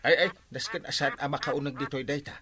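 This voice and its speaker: A man's voice: mid-range voice, fairly even intonation, fairly quick, a crowd in the background, lightly acted. An actor playing a grumbling, admonishing village man in a Filipino radio comedy drama, with an Ilocano accent. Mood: alarmed, grumbling.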